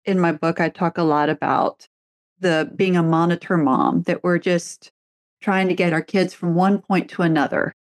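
A woman's voice: on the low side, steady tone, normal speed, dry recording, clean studio sound. A middle-aged woman, a therapist and author, chatting casually and telling stories with easy laughs. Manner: chatty storyteller, laughs easily. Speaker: podcast host, therapist and author. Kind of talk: casual conversation.

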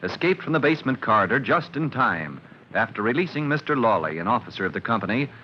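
A man's voice: mid-range voice, sing-song, fast. A man narrating a vintage radio drama as announcer, in a dramatic, declamatory style. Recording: muffled, noisy old radio recording.

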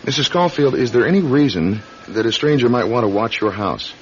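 An actor playing a conscientious young police officer in a radio drama, earnest and polite. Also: male voice, medium pitch, animated, a little fast, close to an everyday voice. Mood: patient, procedural. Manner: polite, earnest, procedural questioning. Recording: dull radio recording with background hiss.

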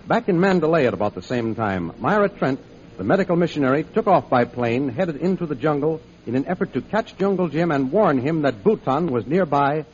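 A man's voice: on the high side, slight background noise. A man narrating an old radio adventure serial as its announcer, in a formal, dramatic style. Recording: muffled old radio broadcast recording. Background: music.

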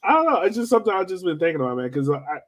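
A man's voice: high voice, sing-song, rapid-fire. A man in a casual sports podcast discussion, thinking aloud and posing questions in a tentative way. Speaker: soccer podcast co-host.

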